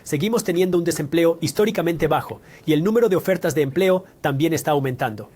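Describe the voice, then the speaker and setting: A man's voice: fairly high pitch, fast talker, a little mellow, husky. A man hosting a scripted finance explainer, talking straight to the viewer and posing questions he then answers. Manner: direct, asks questions and answers them. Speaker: personal finance educator.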